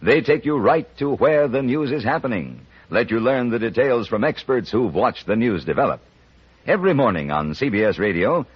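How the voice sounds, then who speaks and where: Husky, lively vintage radio announcer man. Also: mid-range voice. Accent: American. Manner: polished, emphatic. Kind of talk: mid-century scripted radio promo. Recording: muffled old radio recording.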